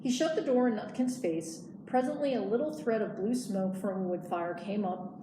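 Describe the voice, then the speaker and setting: A woman's voice: mid-range voice, normal speed. A woman reading a children's storybook aloud as a librarian storyteller. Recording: echoey room, clear background noise.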